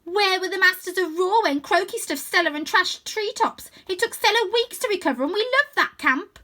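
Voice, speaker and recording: Woman as a small wild girl, storybook gang member, hard, squealing, jeering, very high, sharp. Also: lively intonation, normal speed, full character voice. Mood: aggressive. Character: small, rough, jeering young forest gang girls.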